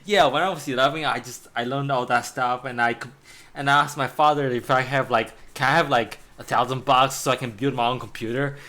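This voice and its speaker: A man's voice: mid-range voice, fairly even intonation, brisk, pushed and bright. A man in his thirties whose first language is Chinese, an art teacher chatting casually while drawing, with lots of restarts and laughter. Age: 30s. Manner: rambling, restarts, laughs often.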